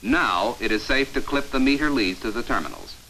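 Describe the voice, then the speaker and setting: An American man delivering scripted instructional narration for a 1950s training film in an authoritative, measured, emphatic announcer manner, on an old film soundtrack. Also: mid-range voice, sing-song, creaky, pushed and bright, a little hiss or hum, low-quality recording.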